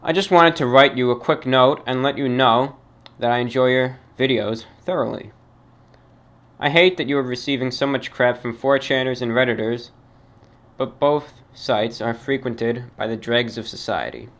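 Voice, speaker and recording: A man's voice: medium pitch, a bit bright. Formal man reading listener emails. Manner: formal, measured, halting delivery. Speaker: host reading fan mail. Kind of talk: radio-style monologue reading emails.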